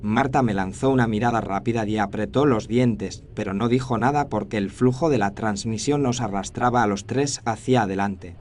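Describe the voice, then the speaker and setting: A man's voice: fairly low pitch, normal speed, few pauses, darkish tone. A man reading a scripted first-person horror story as its narrator. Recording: faint noise and ambience behind narration.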